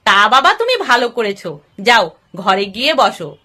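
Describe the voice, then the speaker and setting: A woman voicing a bossy, sharp-tongued mother-in-law in a moral story. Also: on the high side, very animated, pitched below the performer's own voice, heavily acted. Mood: welcoming. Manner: brisk ordering and scolding.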